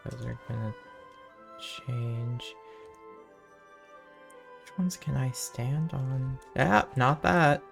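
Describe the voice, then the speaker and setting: A woman's voice: a bass voice, drawn-out words, vocal fry, pauses often, faint music behind. A woman giving casual, dry and offhand commentary as she streams a video game.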